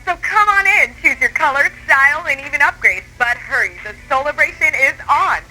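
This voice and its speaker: A woman announcer giving an upbeat, scripted car dealership sales pitch. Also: lively intonation, moderate pace, pushed and bright, soft background music, low-quality recording. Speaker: commercial announcer.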